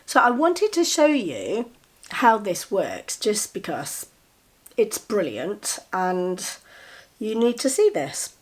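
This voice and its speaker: A woman's voice: medium pitch, very animated, slow pace. Chatty woman with a cold. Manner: chatty, enthusiastic, with soft giggles. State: a little bunged up with a cold. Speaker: vlogger showing gifts. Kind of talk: casual show-and-tell to camera.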